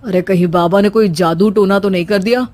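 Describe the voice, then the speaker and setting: A woman's voice: mid-range voice, steady tone, brisk, a little mellow, no room sound, pitched below the performer's own voice, lightly acted. A woman voicing a baffled husband and son in a cartoon story. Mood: astonished.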